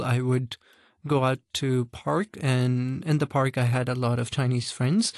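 A young man from Hong Kong, a guest answering interview questions in an earnest, measured way. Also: mid-range voice, no room sound, studio quality. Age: young adult.

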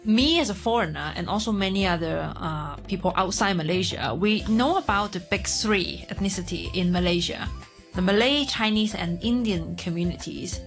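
A woman's voice: lowish voice, moderate pace, soft background music. A woman hosting an interview, asking the guests questions and prompting them along with "mm-hmm". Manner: prompting, frequent "mm-hmm" backchannels. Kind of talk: conversational interview.

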